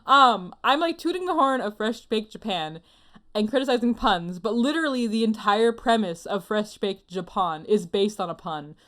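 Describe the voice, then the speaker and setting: A woman's voice: medium pitch, animated, normal speed, fairly bright tone. Chatty, informal woman hosting a casual podcast. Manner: chatty, informal, self-deprecating. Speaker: podcast host. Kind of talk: casual chat.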